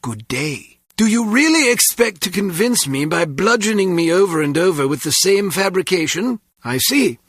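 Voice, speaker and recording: British butler, game character, haughty, drily sarcastic, husky high voice, elaborate phrasing. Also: male voice, lively intonation, moderate pace, hardly any pauses, fairly bright tone, no room sound, studio quality. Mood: haughty dismissal. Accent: British English. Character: snooty, sardonic male butler to an English baron. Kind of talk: scripted video game voice acting.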